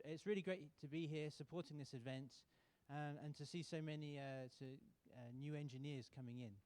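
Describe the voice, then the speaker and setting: Man from the UK, sponsor rep at a lectern, soft warm tone, hesitant delivery. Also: medium pitch. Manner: relaxed, halting with many fillers and restarts. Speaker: sponsor representative. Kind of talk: welcome speech at a contest. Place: university auditorium.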